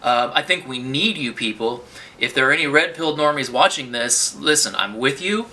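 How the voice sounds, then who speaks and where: Sharp-toned man ranting to camera. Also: medium pitch, lively intonation, normal speed. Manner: rambling, with many restarts and profane outbursts. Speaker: vlogger. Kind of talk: unscripted political monologue to camera.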